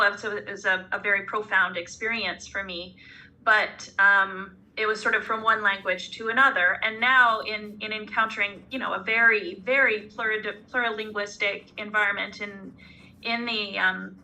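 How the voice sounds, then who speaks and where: A woman's voice: mid-range voice, sharp tone, low-quality recording. A woman from Canada, an urban studies scholar, reflecting in an online seminar; she talks thoughtfully and hesitantly, with many restarts. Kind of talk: reflective academic seminar discussion.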